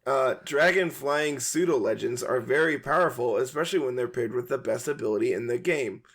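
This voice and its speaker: Gravelly-voiced man signing off a podcast. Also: medium pitch, a little fast. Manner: chatty, relaxed, many fillers. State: voice going hoarse. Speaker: podcast co-host. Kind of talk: casual podcast chat and sign-off.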